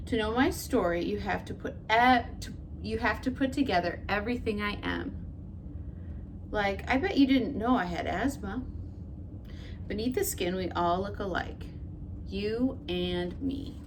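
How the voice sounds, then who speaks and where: A woman teacher reading a picture book to children, her pitch rising and falling in a lively way. She tells the story gently and puts questions to her young listeners. Clear background noise sits under her voice. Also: a little slow.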